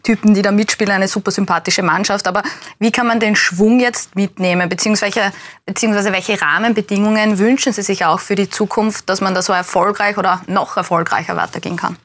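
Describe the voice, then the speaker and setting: Woman, medium pitch, fluent, professional, television studio interviewer. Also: steady tone, a little fast. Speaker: TV news interviewer. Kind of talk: broadcast interview.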